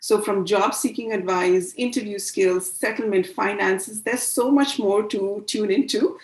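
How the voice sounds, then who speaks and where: A woman's voice: mid-range voice, unhurried, roomy sound. A woman hosting a virtual panel over a video call, hesitant with many fillers. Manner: hesitant with frequent uh fillers, welcoming. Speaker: host. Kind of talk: scripted-feeling virtual panel intro. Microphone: video call mic.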